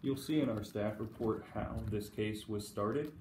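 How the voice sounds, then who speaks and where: A man giving a formal, measured, bureaucratic statement as a city official at a hearing. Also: on the low side, darkish tone. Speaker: city code compliance official. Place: indoor hearing room. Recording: echoey room, noisy rustling.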